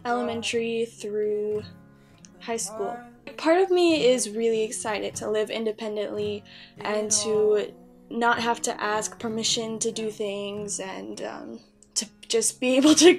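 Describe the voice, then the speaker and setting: A young woman talking candidly in a casual personal vlog, rambling a little and poking fun at herself. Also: on the high side. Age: young adult. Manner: candid, self-deprecating, rambling. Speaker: YouTube vlogger. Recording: background guitar music. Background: soft guitar music.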